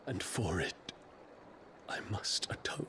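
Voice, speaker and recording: A man acting a brooding, guilt-ridden hero in a fantasy video game, speaking in a solemn, deliberate way. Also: pushed and bright, whispered. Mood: grim, remorseful.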